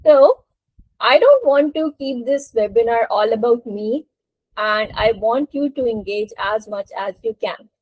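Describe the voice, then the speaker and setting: A woman's voice: fairly high pitch, animated, measured pace, a little mellow, dry recording, rough recording. A woman teaching a spoken English lesson to a group on an online call, encouraging and prompting participants. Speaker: English teacher hosting a webinar.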